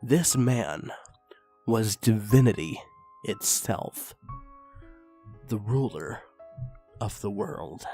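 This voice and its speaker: A man reading fan fiction aloud in an expressive narrating style, rising in intensity on the dramatic passages. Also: on the low side.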